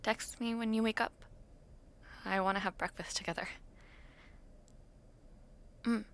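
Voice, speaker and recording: A young woman acting an affectionate, teasing university student in an intimate roleplay, talking softly and playfully to the listener late at night. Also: medium pitch, steady tone, measured pace. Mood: fond, sleepy. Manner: soft, playful, teasing, with breathy murmurs.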